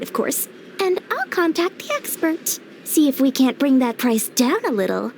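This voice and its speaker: High-pitched, scheming merchant girl. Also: slight background noise, full character voice. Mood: wheedling, sly. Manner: smooth-talking, theatrical sales patter. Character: cunning, greedy, cheerful young female merchant. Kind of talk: anime game voice acting.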